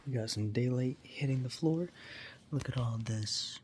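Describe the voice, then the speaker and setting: A man chatting casually while cleaning his living room, meandering and offhand, with quiet mumbled asides, into a handheld phone. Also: medium pitch, a little slow. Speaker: vlogger and pet owner. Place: home living room, indoors. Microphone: handheld phone camera. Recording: loud background noise.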